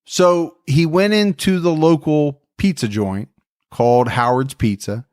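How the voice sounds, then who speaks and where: A man hosting a true crime podcast, telling the story in a relaxed, friendly way and chatting with his co-host. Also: fairly high pitch, measured pace, dry recording, studio quality.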